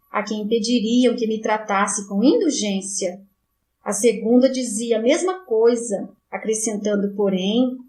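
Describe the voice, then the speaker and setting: Woman reading an audiobook, slow and solemn, kinda echoey room. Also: on the high side, a little mellow. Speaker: audiobook narrator. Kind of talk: scripted first-person narration. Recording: roomy echo.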